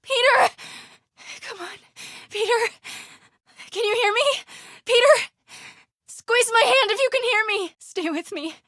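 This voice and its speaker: A woman playing a plucky, quick-witted young female investigative reporter in a video game, with a breathy voice. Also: extremely high pitch, dry recording, clean studio sound, exaggerated voice. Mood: desperate, pleading. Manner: hushed muttering to herself.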